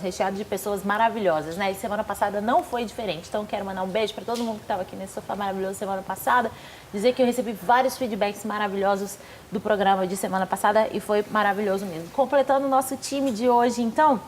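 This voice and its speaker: Effusive Brazilian TV talk show hostess. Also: female voice, on the high side, a little hiss or hum. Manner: effusive, warm praise for guests, quick asides. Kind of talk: studio talk show hosting. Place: TV studio.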